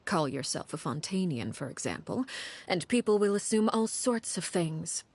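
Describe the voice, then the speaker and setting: Cool, commanding, low-voiced woman. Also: very animated, a little mellow, a little hiss or hum. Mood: composed, guarded. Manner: measured, formal, guarded, wry. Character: cool, calculating, commanding adult woman of authority. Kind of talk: anime-style video game voice acting. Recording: music faintly behind the voice.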